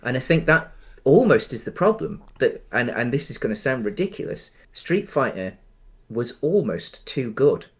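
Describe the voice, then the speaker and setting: A man co-hosting a casual film discussion, talking in a hesitant, rambling way with halting phrasing. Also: mid-range voice, very animated. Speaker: podcast co-host.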